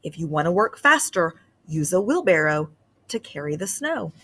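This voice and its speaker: A woman's voice: fairly low pitch, very animated, normal speed, a bit bright, slight background noise. A woman voicing a kindly, doubtful uncle mouse in a storybook. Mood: musing.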